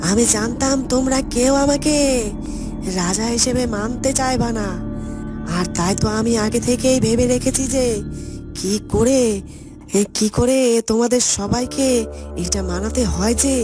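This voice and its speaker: A power-hungry sorcerer king voiced by a woman boasts and gives orders in a fairly high voice. The delivery is dramatic cartoon villainy, deliberate and domineering. Music runs loudly underneath. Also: natural voice. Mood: indignant, domineering. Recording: loud music bed.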